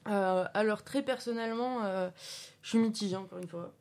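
A woman's voice: medium pitch, moderate pace, darkish tone. A woman reviewing a film in a casual radio chat, hesitant and full of fillers.

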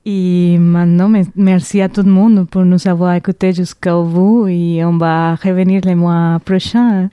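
A woman hosting a casual radio podcast, asking relaxed questions with some stammered restarts. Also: on the low side, measured pace, hardly any pauses, clear, resonant tone, no room sound. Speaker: podcast host.

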